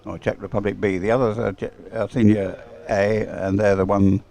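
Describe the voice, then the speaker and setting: A man's voice: lowish voice, little pitch movement, normal speed, vocal fry, mellow. A man doing live sports commentary and off-the-cuff chat in a hesitant, rambling way, often repeating words.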